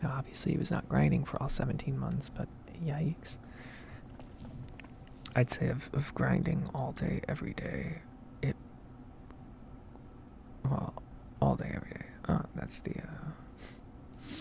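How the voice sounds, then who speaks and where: A woman speaking in a soft, breathy whisper, rambling casually in an ASMR gameplay style, close to the mic with typing and clicking behind her. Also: very deep voice, fairly even intonation, measured pace, long pauses, a little hiss or hum. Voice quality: breathy, soft-spoken whisper with heavy vocal fry. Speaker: gaming streamer and ASMR creator.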